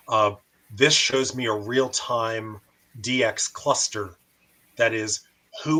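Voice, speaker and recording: A man with a fairly low voice and a bright, forceful edge. He talks as a host walking through a live demonstration, methodically explaining each step and pausing between phrases. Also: low-quality recording.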